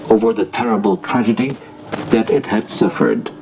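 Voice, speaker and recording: A man reading a formal, scripted international news bulletin as a radio newsreader, in a measured delivery. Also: on the low side. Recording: noisy, muffled shortwave radio broadcast recording.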